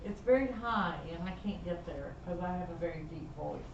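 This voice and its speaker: A woman's voice: lowish voice, expressive, a little fast, vocal fry, background noise. A woman in a painting workshop making self-deprecating jokes in casual chat. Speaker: workshop student. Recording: roomy, far from the mic, dull.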